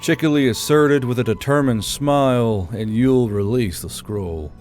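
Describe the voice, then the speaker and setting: A man's voice: mid-range voice, moderate pace, a little mellow. A man reading scripted audiobook fiction as its narrator. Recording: music under the voice.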